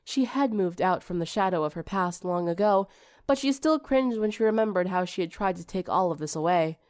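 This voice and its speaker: A woman's voice: fairly low pitch, expressive, a little fast, a little mellow, no echo, clean studio sound. A woman reading scripted fiction as an audiobook narrator.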